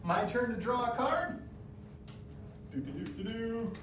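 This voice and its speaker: A man's voice: very high voice, expressive, unhurried, distant mic, slight background noise. A man in his thirties leading an adaptive fitness class, giving upbeat step-by-step cues and encouragement. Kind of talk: instructional exercise class. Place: large bare indoor room. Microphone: far camera. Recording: very echoey room, voice from afar.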